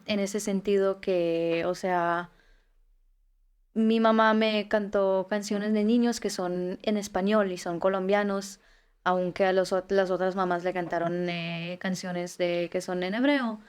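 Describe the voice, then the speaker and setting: A woman's voice: mid-range voice, steady tone, unhurried, a little mellow, clean tone. Young woman from Israel, 20s, interview guest; hesitant, searching for words. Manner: hesitant, many fillers and restarts. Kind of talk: casual interview.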